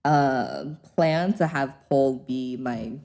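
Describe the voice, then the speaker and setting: A woman's voice: a bass voice, takes it slowly, darkish tone. A young woman from Hong Kong with an American accent, chatting as an interview guest, with lots of fillers and easy laughs. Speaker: interview guest, pole dance teacher. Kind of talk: casual interview.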